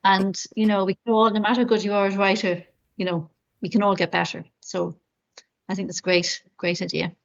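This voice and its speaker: A woman's voice: mid-range voice, flat delivery, a little fast, vocal fry, muffled recording. A middle-aged woman, an author, giving encouraging writing advice on a video call, with many you-knows. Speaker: author guest. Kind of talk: friendly advice in an interview.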